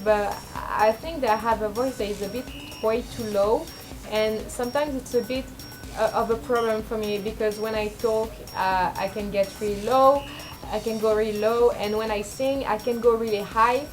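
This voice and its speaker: A young woman with a low speaking voice, rambling and self-deprecating in a casual confessional vlog, full of fillers and restarts. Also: fairly even intonation, normal speed, music in the background. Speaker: vlogger.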